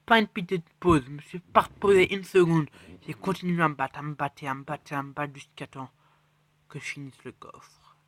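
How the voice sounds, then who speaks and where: A man with a cold giving rambling, excitable commentary on his mobile game matches and chest openings. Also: a little high, moderate pace, no room sound. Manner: rambling, excitable, self-correcting, drops to mutters. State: has a cold. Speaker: mobile game channel host. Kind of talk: casual gameplay commentary.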